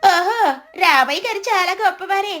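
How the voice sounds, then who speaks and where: A woman acts an envious village gossip from a moral story. The voice leaps very high, effusive and exclaiming, with light music behind. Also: normal speed, pushed and bright. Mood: gushing, envious.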